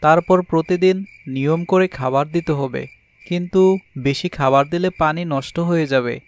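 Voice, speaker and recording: Man voicing a high-pitched cartoon husband bird. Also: normal speed, natural voice. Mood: caring, advising. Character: husband bird with two wives, advising and fair. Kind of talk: children's cartoon moral story dialogue.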